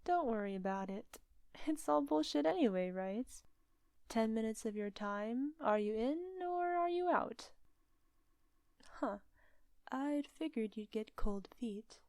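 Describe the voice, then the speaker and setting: A slow, unhurried witch played by a woman speaks close and dry in a light, higher voice. She is confident and coaxing, teasing her listener softly and often slipping into a breathy whisper, in the style of an intimate ASMR roleplay. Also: lively intonation, dry recording. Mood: teasing, challenging.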